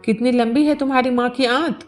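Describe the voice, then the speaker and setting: A woman's voice: high-pitched, fairly even intonation, a little fast, soft background music, lightly acted. A woman storyteller voicing a stubborn, stingy young daughter-in-law in a village novel, with a coy, carping manner. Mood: resentful, carping.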